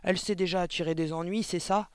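A man's voice: sits high, very animated, fast, no echo, voice pushed up. A man voicing a rude, impatient father in a storybook, grumbling and barking in slangy speech. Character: gruff, rude, impatient small-time father.

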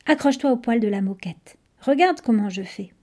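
A woman's voice: a little high, lively intonation, brisk, dark tone. A woman voicing a tired, impatient female creature who trains a novice, grumbling, bossy and curt, in a storybook. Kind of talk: audiobook storytelling.

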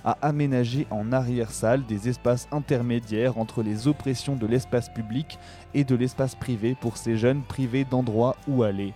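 A man's voice: medium pitch, fairly even intonation, normal speed, warm tone. A man reading a scripted documentary narration as a radio presenter. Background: music.

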